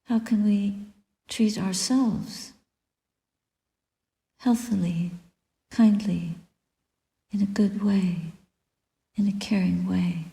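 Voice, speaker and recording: A woman guiding a meditation as a Buddhist teacher, speaking softly and deliberately in short phrases with long pauses and breathy endings. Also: medium pitch, steady tone. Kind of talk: guided meditation, spoken dharma reflection. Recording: echoey room with long reverb.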